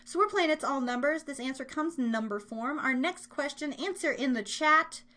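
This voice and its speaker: An American woman with a fairly high voice hosts a live stream trivia game. She chats casually and playfully with her listeners, dropping in little asides as she goes. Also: lively intonation, moderate pace, pushed and bright. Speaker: live show host running a trivia game.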